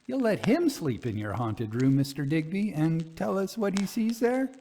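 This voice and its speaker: Man as an eager society lady, pleading, exclamatory, lifted lively pitch, fireside story. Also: normal speed, slight background noise. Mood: excited. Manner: wheedling, exclamatory. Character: eager, pleading society woman from New York.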